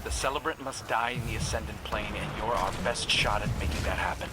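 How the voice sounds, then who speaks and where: A man's voice: lowish voice, a little fast, natural voice. A man playing a brave, earnest and loyal young hunter in a video game cutscene. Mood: urgent, determined. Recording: loud music bed behind the voice.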